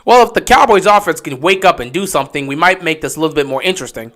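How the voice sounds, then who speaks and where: A man giving casual live play-by-play commentary on a stream, getting excited and loud on big plays. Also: a little high, fast. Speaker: livestream game commentator.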